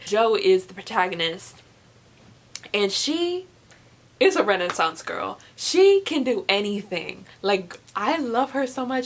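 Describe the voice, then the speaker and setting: A young woman chatting casually as a vlogger, gushing and emphatic, often repeating words for emphasis. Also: on the high side. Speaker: book reviewer vlogger. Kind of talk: casual chat to camera.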